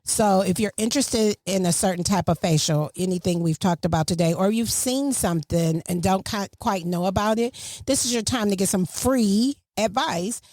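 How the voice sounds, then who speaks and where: A woman's voice: medium pitch, moderate pace, darkish tone, no echo, studio quality. A middle-aged woman hosting a podcast, talking casually and motherly, giving advice to parents. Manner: chatty, motherly, preachy asides to parents. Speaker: podcast host. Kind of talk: casual chat.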